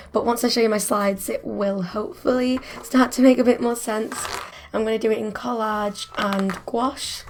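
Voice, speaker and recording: A woman in her twenties with a croaky voice, chatting casually as an art student vlogger, often laughing at herself. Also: medium pitch, darkish tone. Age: 20s. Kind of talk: casual vlog narration.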